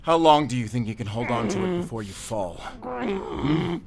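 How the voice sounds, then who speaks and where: A man's voice: a little high, big pitch swings, normal speed, creaky, a loud crowd. A man playing a grim, determined werewolf hunter, speaking intently and commandingly in an audio drama. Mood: urgent, fierce. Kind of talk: cinematic audio roleplay acting.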